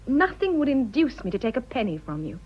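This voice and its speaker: Young upper-class English wife, radio drama. Fraught and guilt-stricken, high, breathy voice. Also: female voice, sing-song, a little fast. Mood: overwhelmed, pleading. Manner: earnest, emotionally fraught. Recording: muffled, dull radio drama recording.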